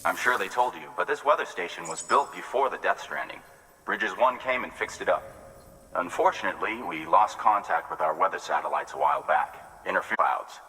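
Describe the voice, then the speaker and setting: An actor playing a polite, grateful weather station worker in a video game cutscene, speaking in a friendly, reassuring way. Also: male voice, sits low, lively intonation, fairly quick, sharp tone, big-room sound, poor audio.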